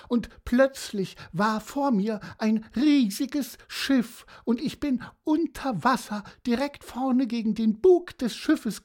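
A woman's voice: a little high, a little mellow, pitched above the performer's own voice. Woman voicing a hesitant, woeful seahorse. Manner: slow, hesitant, stammering with fillers. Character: rambling, woeful female seahorse telling tall tales. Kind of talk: storybook character voice.

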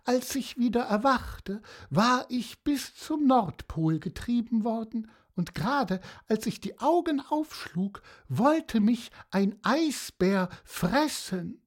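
A woman voicing a rambling, woeful female seahorse who tells tall tales slowly, with many hesitations. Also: on the high side, expressive, pitched above the performer's own voice. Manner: slow, hesitant, stammering with fillers. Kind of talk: storybook character voice.

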